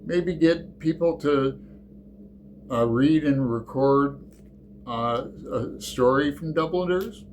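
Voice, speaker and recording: A man's voice: fairly high pitch, takes it slowly, a little mellow, a little hiss or hum. A man hosting his book channel, chatting through a tag in a rambling, hesitant way with lots of fillers. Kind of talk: casual book tag chat.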